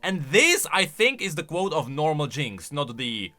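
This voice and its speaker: A bright, forceful male voice with very lively intonation, commenting casually on video game lines. He jumps between emphatic bursts and quieter asides, while music plays faintly behind him. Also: a little high, measured pace.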